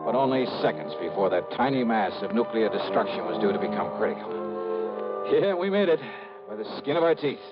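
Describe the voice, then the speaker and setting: An American actor playing a hard-boiled insurance investigator in a golden age radio drama, speaking in clipped phrases with dramatic pauses. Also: male voice, fairly low pitch, lively intonation, loud music behind the voice, close to an everyday voice. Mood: wry narration. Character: male freelance insurance investigator, hard-boiled, self-critical, urgent. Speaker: lead actor. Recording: muffled vintage radio, loud noise.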